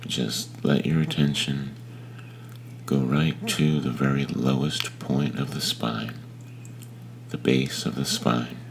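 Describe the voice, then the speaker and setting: A man leading a guided meditation in a gentle, unhurried manner with long pauses between phrases. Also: rumbling low, level tone, gravelly, a little hiss or hum. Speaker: meditation teacher.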